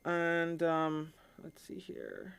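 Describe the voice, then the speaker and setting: A woman's voice: deep voice, huge pitch swings, extremely slow, gravelly, a bit bright, clean tone. A woman from the Caribbean hosting a live stream, explaining things in an informal, conversational way and often checking in with her listeners.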